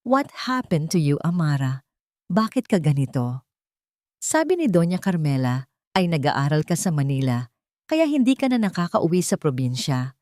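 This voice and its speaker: A woman's voice: low-pitched, sing-song, moderate pace, mellow, no echo. An earnest young lawyer in an audio drama, played by a woman narrator. He speaks with urgency and firm resolve, a protective friend. The sound is dry and close. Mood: concerned.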